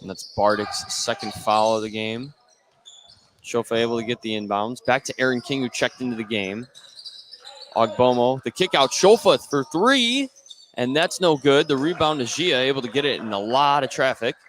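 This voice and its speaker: A man's voice: medium pitch, lively intonation, moderate pace, a bit bright, slight background noise. An American man doing play-by-play radio commentary of a basketball game, following the action in short running phrases. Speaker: play-by-play sports announcer. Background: basketball game in a gym.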